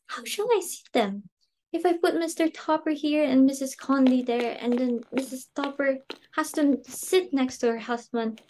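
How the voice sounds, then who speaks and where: A woman's voice: high-pitched, a little mellow, slight background noise, poor audio. A woman playing a flustered, snobbish society hostess and mother in an amateur dramatic reading, exclamatory and imperious. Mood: exasperated, frantic.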